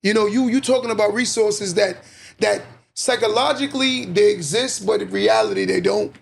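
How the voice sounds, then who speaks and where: A man co-hosting a podcast, telling animated stories and quickly agreeing. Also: high voice, a little hiss or hum. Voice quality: raspy. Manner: animated storyteller, quick affirmations. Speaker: podcast co-host. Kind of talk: casual podcast conversation, storytelling.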